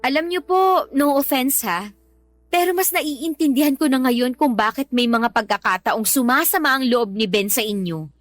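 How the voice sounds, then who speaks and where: An earnest young student in a radio drama. Her voice is very high, and she pleads with respectful but firm conviction. Soft music runs underneath. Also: animated, moderate pace, no room sound. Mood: frustrated. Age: young adult. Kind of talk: Tagalog radio drama acting.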